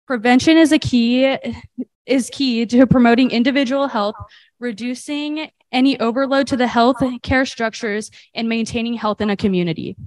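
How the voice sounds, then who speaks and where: A woman's voice: a little high, normal speed, darkish tone, no echo, low-quality recording. A teenage girl from California earnestly reading her section of a student health presentation. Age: teens.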